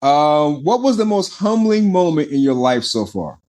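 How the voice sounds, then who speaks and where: A man's voice: fairly high pitch, big pitch swings, normal speed, darkish tone. A man hosting a podcast interview, enthusiastic in a casual way, often stammering and repeating his words. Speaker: podcast host.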